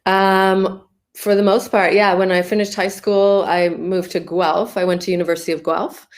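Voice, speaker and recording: A woman's voice: medium pitch, little pitch movement, normal speed. A Canadian woman in her thirties, guest in a casual interview, easygoing and laughing often.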